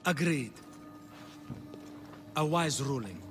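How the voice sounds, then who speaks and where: A man's voice: a little high, lively intonation, slow, over music. An actor playing a smug, scheming Spartan king in a video game cutscene, haughty and sardonic.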